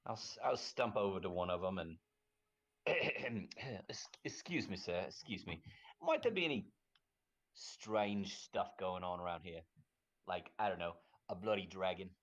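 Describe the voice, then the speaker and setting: Loud, gruff man on a dull lo-fi line. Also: low-pitched, animated, a little slow. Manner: loud, gruff in-character talk. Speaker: player. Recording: dull lo-fi sound.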